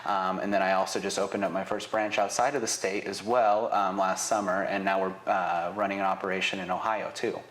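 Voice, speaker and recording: A man's voice: low voice, little pitch movement, fairly quick, hardly any pauses, fairly bright tone, echoey room. A man in his twenties from Israel, a native Hebrew speaker, talking about his business in a casual interview, earnest and full of fillers and restarts. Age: 20s. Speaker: business owner, guest. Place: studio.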